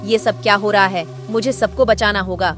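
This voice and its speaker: A woman's voice: mid-range voice, steady tone, normal speed, a bit bright, close to an everyday voice. A woman playing a brave, worried young village woman in a cartoon story, earnest and dramatic. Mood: alarmed. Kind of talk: Hindi cartoon story acting. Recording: faint music behind.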